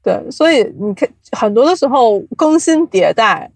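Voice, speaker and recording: A woman speaks as an interview guest in a slightly raised pitch. She explains things at length in a casual, conversational way, reaching for examples. The sound is very dry, free of room echo. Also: expressive, normal speed. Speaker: guest, audio drama producer. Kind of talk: casual podcast interview talk.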